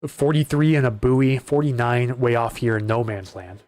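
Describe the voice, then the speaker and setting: Slightly hoarse man hosting live weather coverage. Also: mid-range voice, moderate pace, a little mellow, no room sound. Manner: conversational, repeats words and restarts while reading data. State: voice getting hoarse. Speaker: weather livestream host. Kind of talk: live weather coverage, reading maps and viewer questions.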